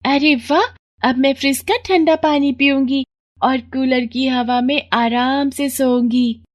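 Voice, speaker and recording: Casting: small girl, about six, high voice, whiny and insistent, for a cartoon moral tale played by an adult woman. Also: fairly even intonation, slow pace, no echo, clean studio sound. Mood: delighted. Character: pleading, insistent six-year-old girl, poor labourer's daughter. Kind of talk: animated moral story voice acting.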